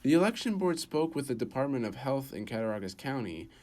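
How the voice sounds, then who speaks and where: Give the voice of a male television news reporter. He delivers scripted narration and stand-up reports in a mid-pitched voice. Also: sing-song, fairly quick, a little mellow.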